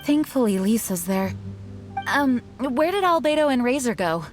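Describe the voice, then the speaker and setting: Polite young lady knight leader from a game, slightly high voice, earnest n composed, music under it. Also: sing-song, moderate pace. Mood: concerned. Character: earnest, courteous young woman knight commander. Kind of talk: animated video game voice acting. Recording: music behind the voice.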